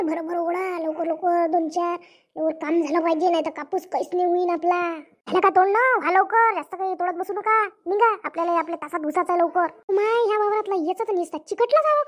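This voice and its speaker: A woman plays an older village woman who leads farm workers in a comic cartoon. Her voice is extremely high. She speaks Varhadi Marathi in a chatty, rustic, bossy way.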